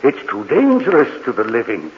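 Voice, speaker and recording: An actor playing a gentle, eccentric old morgue keeper in a 1940s radio mystery, talking in a soothing, earnest, rambling way on a dull vintage recording. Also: male voice, mid-range voice, wildly swooping pitch, moderate pace, in a large hall. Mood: pleading warning. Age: older.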